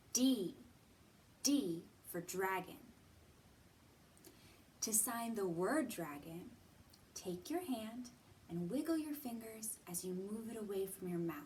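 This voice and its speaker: A woman hosting a library storytime, teaching and reading to young children in a deliberate, encouraging way with questions and pauses. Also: mid-range voice, a little mellow. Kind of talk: children's lesson and read-aloud. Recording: distant mic with clear background noise.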